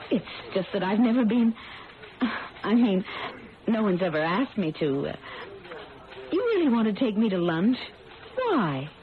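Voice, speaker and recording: Naive young woman, lively tinny radio drama. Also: medium pitch, a little slow, pauses often, slight background noise. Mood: flustered, disbelieving. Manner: hesitant, disbelieving, echoing questions back. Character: naive, shy, eager young working woman. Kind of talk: vintage-style radio drama acting. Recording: tinny band-limited radio broadcast, background music.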